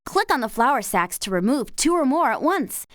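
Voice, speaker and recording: An actress voicing a cheerful, encouraging young woman horse rider in a video game, with an American accent and bubbly, clear diction. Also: high voice, big pitch swings, moderate pace, no echo, clean studio sound. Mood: helpful, curious. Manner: bubbly, upbeat, clearly enunciated. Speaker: game character guiding the player. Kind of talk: scripted video game voice lines.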